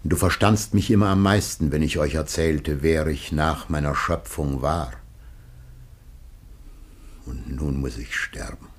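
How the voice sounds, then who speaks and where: A man's voice: rumbling low, a little slow, long pauses, husky, exaggerated voice. An actor playing the aged first man, anguished and awaiting death, in solemn, declamatory radio-play style. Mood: sorrowful, grave. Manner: grave, declamatory, archaic verse-like diction. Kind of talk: solemn classical German radio play acting.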